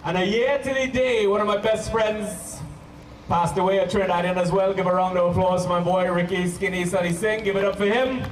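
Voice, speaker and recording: A man with a Canadian accent performing stand-up comedy to a live audience, telling stories playfully with drawn-out emphasis and pauses. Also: high voice, fairly even intonation, echoey room. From Toronto, Canada. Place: live comedy show at a park venue. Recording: distant mic, hissy live-show camcorder sound.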